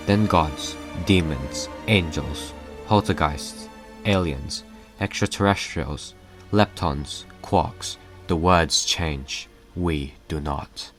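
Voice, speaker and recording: A man voicing an unseen cosmic being, reciting a poem in a solemn, measured, deep voice. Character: unseen godlike male being narrating a poem, solemn and knowing. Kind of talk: scripted poetic narration. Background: music.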